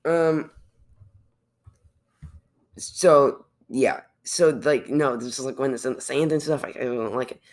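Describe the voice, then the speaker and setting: A man's voice: medium pitch, unhurried, long pauses. The kind of teen guy heard on a homemade movie podcast, loosely joking through a plot. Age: teens. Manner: jokey, loose. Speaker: podcast co-host. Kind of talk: casual film recap chat.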